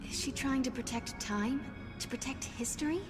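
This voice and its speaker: An actress playing an earnest, inquisitive young heroine in a video game. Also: female voice, high-pitched, normal speed, in a large hall, close to an everyday voice. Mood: puzzled, earnest. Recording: game music behind the voice.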